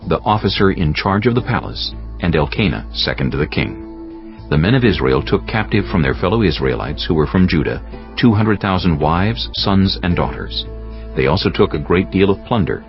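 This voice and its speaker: Very deep, gravelly male scripture narrator. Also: lo-fi sound. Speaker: audiobook narrator.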